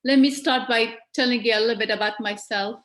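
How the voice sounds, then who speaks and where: A woman's voice: on the high side, steady tone, a little fast, fairly bright tone. A woman in her forties, born in Afghanistan, giving an earnest presentation over a video call with small restarts. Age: 40s. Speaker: guest presenter. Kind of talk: webcast presentation.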